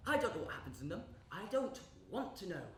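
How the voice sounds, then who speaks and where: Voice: mid-range voice, sing-song, quick pace. A young actor playing an eccentric, evasive underworld landlord in a live stage play, rambling and repeating with erratic emphasis. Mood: musing, wary. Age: young adult. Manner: rambling, repetitive, erratic emphasis with sudden shouts. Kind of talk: live theatre monologue and dialogue. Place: echoey indoor performance space. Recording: roomy live stage recording with noise.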